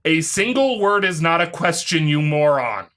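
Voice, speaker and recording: A woman's voice: low voice, lively intonation, moderate pace, a bit bright. A woman giving an exasperated, sarcastic, foul-mouthed off-the-cuff rebuttal as an online commentator.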